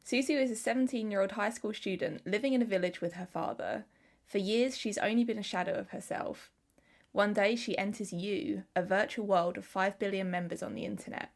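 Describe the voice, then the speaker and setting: A woman co-hosting a film podcast, reading a synopsis in an even, measured way. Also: medium pitch. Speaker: podcast co-host. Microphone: headset.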